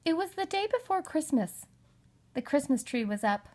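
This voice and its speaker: A woman narrating a children's storybook in an expressive read-aloud style. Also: high voice, moderate pace. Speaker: storybook reader.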